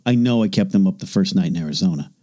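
Animated, jokey male podcast co-host. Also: deep voice, quick pace. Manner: jokey, does silly character voices in his bits. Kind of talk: casual podcast chat.

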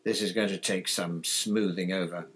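Storybook dad, read by a man: middle-aged, husky, measured, weary but soothing. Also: deep voice, fairly even intonation, fairly bright tone. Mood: weary, resigned.

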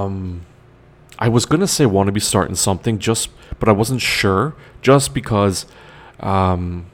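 A man's voice: sits low, gravelly. A man, rambling enthusiastically as a solo show host, full of fillers and restarts. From Canada. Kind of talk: casual solo talk.

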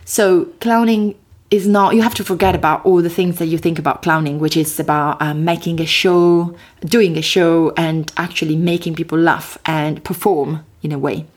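A woman from Italy with an Italian accent, a podcast guest talking in a lively, expressive way with quick bursts and hesitations. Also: deep voice. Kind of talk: casual interview talk.

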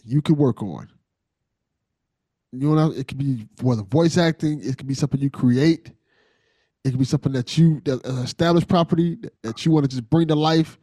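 A man's voice: mid-range voice, normal speed, dark tone, dry recording, clean studio sound. A man hosting a casual interview, talking in an informal, slangy, buddy-like way. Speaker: podcast host.

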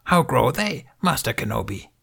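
A man voicing a tiny, ancient, serene alien master who speaks in inverted, riddling sentences with a high, half-whispered voice. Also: very animated, normal speed, gravelly, husky, full character voice. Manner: inverted word order, gentle and riddling. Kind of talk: audiobook character voice.

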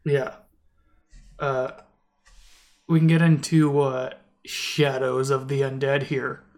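A man's voice: mid-range voice, level tone, slow pace. A man co-hosting a casual album review podcast, talking in a rambling way with many restarts and fillers. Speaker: podcast co-host.

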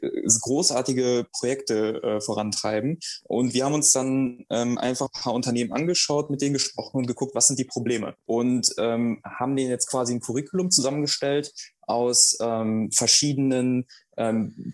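A young man, a startup founder, answering interview questions in a halting way with many fillers and restarts. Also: medium pitch. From Germany.

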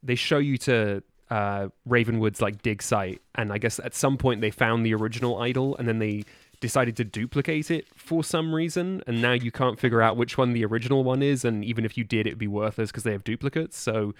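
A man's voice: medium pitch, brisk, dry recording. Man chatting in a dry, close recording. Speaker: podcast guest. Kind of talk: casual chat.

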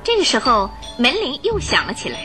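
Bright, animated female radio drama narrator. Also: high-pitched, a little fast, soft background music.

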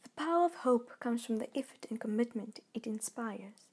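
A woman reading a scripted devotional message in an earnest, measured, encouraging way. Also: fairly high pitch, animated, a little mellow, husky. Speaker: devotional reader.

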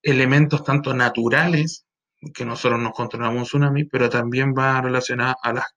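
A man's voice: mid-range voice, steady tone, a little fast, a bit bright, dull audio. A Chilean man teaching a class, explaining at length with many fillers and repeated checks for understanding. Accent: Chilean Spanish. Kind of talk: recorded class lecture.

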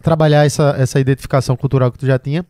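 A man hosting a casual podcast, chatting in a relaxed way with short agreeing remarks. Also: mid-range voice, rapid-fire, no echo, clean studio sound. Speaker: podcast host, youtuber.

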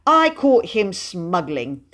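A woman's voice: medium pitch, very animated, normal speed, pitched above the performer's own voice. A woman voicing an earnest, proud and stubborn little boy in an audiobook, with dogged, childish, run-on speech. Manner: dogged, breathless run-on boasting, childish grammar. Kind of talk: audiobook character voice.

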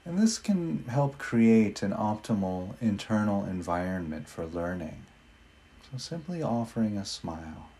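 A man leading a guided meditation in a gentle, unhurried manner with long pauses between phrases. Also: fairly low pitch, sing-song.

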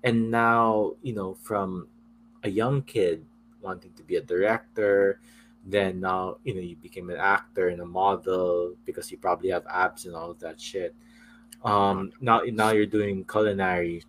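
A man's voice: low-pitched, fairly even intonation, a little slow, a bit bright, slight background noise, poor audio. A man hosting a casual chat, frank and teasing with his guest. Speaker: host.

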